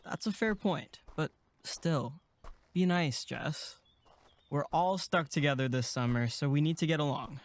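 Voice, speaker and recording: A woman playing an easygoing, friendly young male camp counselor in a horror audio drama, with a gentle, peacemaking manner. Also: low voice, unhurried. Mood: reassuring, mild.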